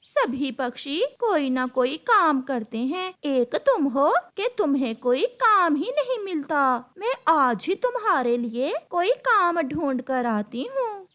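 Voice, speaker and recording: A woman's voice: high-pitched, sing-song, takes it slowly, hardly any pauses, a bit bright, exaggerated voice. A woman voicing a nagging, hard-pressed crow wife in a cartoon story, complaining and insistent. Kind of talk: Hindi animated moral-story acting.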